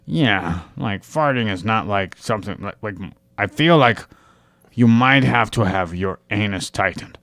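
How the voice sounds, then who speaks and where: Man, podcast co-host, low voice, rambling explanations, dry humor. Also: animated, unhurried, a bit bright, no room sound. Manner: rambling, explanatory, dryly joking. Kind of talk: casual group chat.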